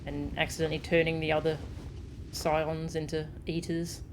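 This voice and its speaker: A woman's voice: deep voice, little pitch movement, normal speed, background noise. A woman game streamer giving casual live reactions as she plays.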